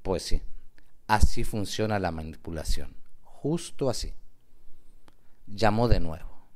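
A man with a fairly low voice narrates a radio soap. He reads the script dramatically, with deliberate emphasis on his phrases. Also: lively intonation, a little mellow.

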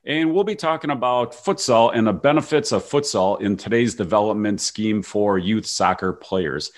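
A man's voice: on the low side, moderate pace. A man from Chicago, hosting a video call interview with upbeat questions. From Chicago, USA. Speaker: interview host. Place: room before blue backdrop.

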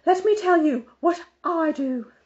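A woman's voice: extremely high pitch, a little slow, darkish tone, voice pushed up. A woman voicing a bossy, self-important middle-aged lady in a storybook, lecturing in a preachy, insistent way. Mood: bossy, emphatic. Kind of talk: storybook character voice. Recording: dull, muffled lo-fi audio.

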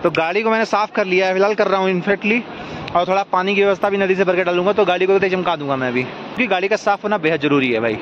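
A man's voice: high-pitched, very fast. A man co-hosting a travel vlog, explaining the route like a guide, recorded in a car and outdoors on a mountain road. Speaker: travel vlogger, co-host. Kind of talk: casual travel vlog chat. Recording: dull lo-fi sound with loud road noise.

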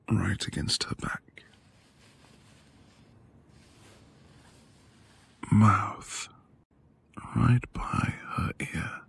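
A man acting as a devoted, flirtatious husband in an intimate ASMR roleplay, breathy and affectionate, often dropping toward a whisper. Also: lowish voice, heavily acted. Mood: seductive.

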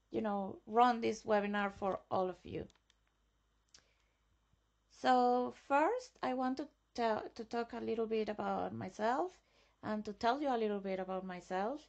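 A woman's voice: mid-range voice, gravelly, lo-fi sound. A woman from Venezuela presenting a tech webinar, enthusiastic and given to repeating words. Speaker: guest speaker presenting a webinar. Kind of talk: presenting slides.

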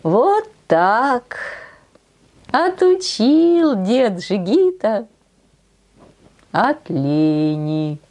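An older woman telling a folk tale expressively, in an unhurried, folksy way with homely diminutives. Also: fairly high pitch, sing-song, a little mellow. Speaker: storyteller on a channel. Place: small decorated room indoors.

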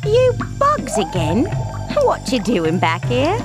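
An irritable talking flower in a cartoon game. It scolds slowly in a high voice, with music behind. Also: female voice, huge pitch swings, exaggerated voice. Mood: bossy. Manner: bossy, scolding. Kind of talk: animated cartoon game dialogue.

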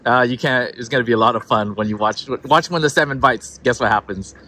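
A man's voice: medium pitch, brisk, bright, forceful tone. A man hosting a fishing vlog, chatting casually outdoors and teasing his friend with laughs. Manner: playful, teasing, laughs often. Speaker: host. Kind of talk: casual chat.

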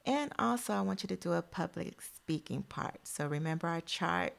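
A woman's voice: lowish voice, animated, normal speed, vocal fry. A woman teacher reading a picture book aloud expressively to young children, adding encouraging asides addressed straight to them.

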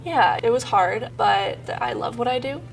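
A woman in her twenties talking openly and frankly in a bright studio. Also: a little high, moderate pace, vocal fry, far from the mic, faint music behind. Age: 20s. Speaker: interview show participant. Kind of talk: casual conversation.

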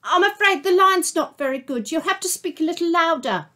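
A woman's voice: extremely high pitch, expressive, a little fast, pushed and bright, voice pushed up, heavily acted. A woman putting on a prim receptionist. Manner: formal, clipped telephone politeness.

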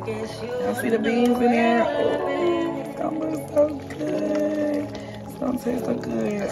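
A young woman with a hoarse, raspy voice chatting casually to viewers while she cooks in her home kitchen. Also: mid-range voice. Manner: chatty, talks straight to viewers. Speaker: vlogger. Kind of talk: casual cooking vlog. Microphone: phone camera held close.

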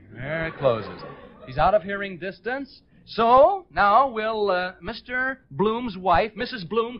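Man, American, game show host, very animated intonation, excitable patter, dull 1940s radio recording. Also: high voice. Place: on stage before a studio audience. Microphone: stage microphone.

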